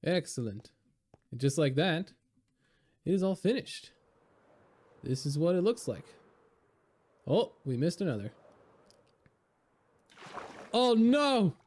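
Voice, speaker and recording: Man, raspy and hoarse from illness; mellow, laid-back rambling commentary over gameplay. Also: fairly high pitch, slow, long pauses. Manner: relaxed, rambling, many fillers. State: hoarse from being sick. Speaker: game video creator.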